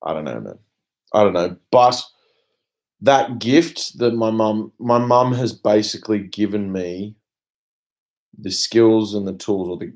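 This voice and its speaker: Young Australian male vlogger, low voice, unscripted rant delivery, blunt, emphatic, sweary. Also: a little mellow. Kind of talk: unscripted personal rant to camera.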